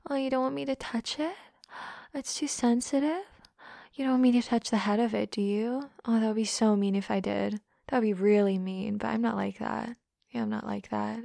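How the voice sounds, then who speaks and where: A young woman playing a teasing, domineering woman who mocks a listener, close to the mic, shifting between soft hushed whispers and louder taunts. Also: fairly high pitch, vocal fry, no echo.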